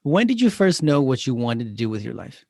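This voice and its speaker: A man's voice: mid-range voice, very animated, fairly quick, vocal fry, darkish tone, dry recording, clean studio sound. A man hosting a local podcast, reading an upbeat intro and asking questions. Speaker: podcast host.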